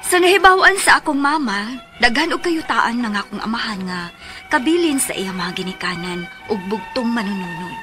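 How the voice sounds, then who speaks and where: Earnest daughter, animated high-ish voice, music behind. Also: female voice, normal speed. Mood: earnest, aggrieved. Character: earnest grown daughter narrating her letter for legal advice. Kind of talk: scripted dramatic letter narration for radio.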